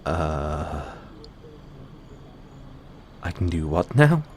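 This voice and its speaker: A man's voice: extremely low pitch, big pitch swings, very slow, a little hiss or hum, full character voice. A man playing a playful, affectionate boyfriend in an intimate bedtime audio roleplay. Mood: affectionate, soothing. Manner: teasing, affectionate.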